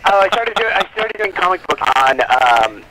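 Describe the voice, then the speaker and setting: A man's voice: high-pitched, very animated, normal speed. A man being interviewed as a guest, chatting casually in a rambling way with plenty of filler words like "like" and "you know.". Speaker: comic book publisher, interview guest. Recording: noisy phone call from guest.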